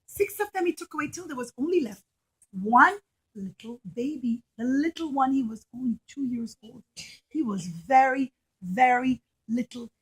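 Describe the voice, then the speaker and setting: A woman's voice: high voice, expressive, normal speed, breathy edge, rough recording. A woman telling a story to young children as a puppet show, with simple phrasing and dramatic emphasis, addressing them directly.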